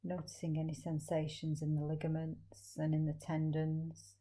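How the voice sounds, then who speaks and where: A woman leading a guided meditation as a teacher, speaking slowly and gently with long, soothing pauses. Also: deep voice, completely flat delivery.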